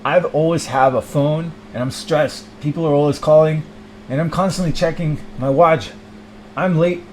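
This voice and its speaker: A man acts out a harried young businessman in an improvised sketch. He is abrupt and panicky, forever apologetic and running late, with a clear hum in the room behind him. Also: fairly high pitch, fairly even intonation, moderate pace, darkish tone, natural voice, poor audio. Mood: stressed, hurried. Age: late twenties. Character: stressed, frantic young ambitious businessman, always late.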